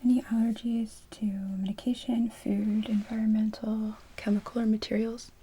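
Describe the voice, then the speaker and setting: A woman whispering gently in an ASMR medical roleplay as a receptionist, asking orderly questions in short phrases. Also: a little high, little pitch movement, moderate pace, breathy edge.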